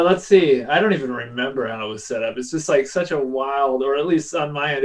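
A man chatting casually as a guest on a video call. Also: mid-range voice. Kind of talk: casual chat. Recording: distant mic over video call.

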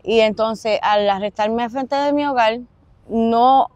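A young woman with a Puerto Rican accent giving personal testimony in an interview, halting and pausing mid-sentence. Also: a little high, darkish tone, no echo. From Puerto Rico. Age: young adult. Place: outdoors in an open park.

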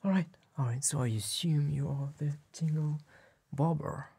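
A man, playing a polite, attentive tailor in a gentle, whispered and soft-spoken ASMR roleplay, with hesitant pauses. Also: on the high side, vocal fry, slightly rough. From France. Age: 20s. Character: polite, attentive bespoke tailor serving a client. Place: small dim tailor's workshop set, indoors.

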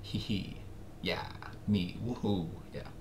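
A man with a raspy voice, casually commenting while he plays a game, joking and muttering asides. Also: low voice, takes it slowly. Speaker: gameplay commentator. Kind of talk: casual let's play commentary. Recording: noisy home recording with game sounds.

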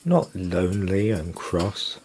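Man acting old male storybook miser, mean, grumbling, deep husky voice, emotional. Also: expressive, takes it slowly, a little mellow, heavily acted. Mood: wistful.

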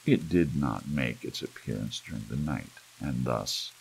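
A man with a gravelly, husky voice reads a horror story aloud in a theatrical, brooding ASMR audiobook style, with emphatic swells. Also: very deep voice, animated, unhurried, slight background noise, poor audio. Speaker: audiobook reader. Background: soft rain.

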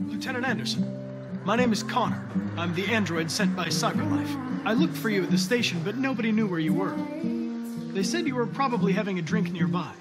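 An actor playing a calm, polite male android police investigator in a video game, speaking in a measured, formal and courteous way. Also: high-pitched, over loud music.

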